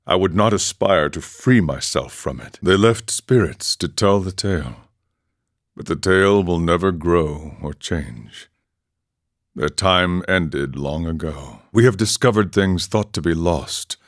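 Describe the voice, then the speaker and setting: A serene, world-weary ascetic monk from a video game. The voice is very low and moves expressively, with measured, formal phrasing and a philosophical bent. The recording is dry and close. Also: male voice, no room sound. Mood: wistful, philosophical. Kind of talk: video game character dialogue.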